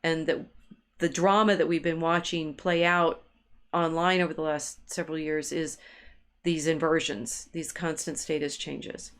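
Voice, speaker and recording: A woman co-hosting an intellectual discussion, thinking aloud in a halting way and repeating words as she searches for phrasing. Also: deep voice, level tone. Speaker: co-host of a discussion stream.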